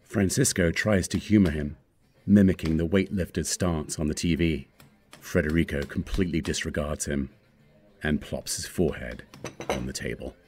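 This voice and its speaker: A man's voice: extremely low pitch, moderate pace, a little mellow. A man reading screen directions aloud as scripted narration for a screenplay.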